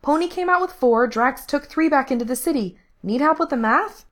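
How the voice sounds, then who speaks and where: Casting: sharp-tongued rebel woman played by a female narrator, higher voice, brash, snappy, audiobook dialogue. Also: expressive, fairly quick. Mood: snappy, irritated.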